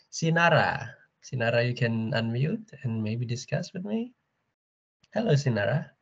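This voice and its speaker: Indonesian-accented male lecturer, mid-pitched, upbeat and coaxing, dull lo-fi video call sound. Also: lively intonation, a little slow, creaky, breathy edge. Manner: upbeat and coaxing, with frequent ums and uhs. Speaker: university language lecturer. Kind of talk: online class for schoolchildren.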